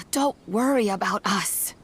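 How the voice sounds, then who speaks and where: A woman playing a kindly old granny in a video game, patient and reassuring, with a breathy, husky voice. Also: mid-range voice, slow pace, fairly bright tone, full character voice.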